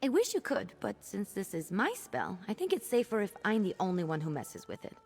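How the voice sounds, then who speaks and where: A woman voicing a cheeky, impulsive young apprentice mage in a video game, chatty, playful and expressive. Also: medium pitch, a little fast, gravelly, soft background music. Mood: friendly, cautious. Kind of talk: video game character dialogue.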